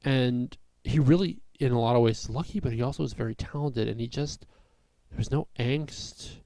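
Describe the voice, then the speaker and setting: Young male comedian, raspy, rambling chatty vlog delivery, dry room. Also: medium pitch, moderate pace, dark tone, dry recording. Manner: rambling, full of fillers and restarts.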